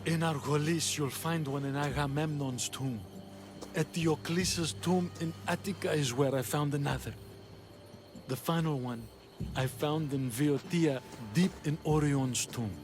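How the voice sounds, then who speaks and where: An actor playing a weary, frightened traveler of ancient Greece in a video game, earnest and grateful. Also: male voice, a little high, unhurried, natural voice. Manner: earnest, pleading. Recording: music behind the voice.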